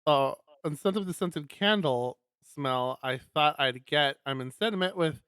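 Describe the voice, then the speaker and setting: A man streamer reading a visual novel aloud and adding chatty, animated asides. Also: on the high side, unhurried, clear, resonant tone, dry recording, clean studio sound.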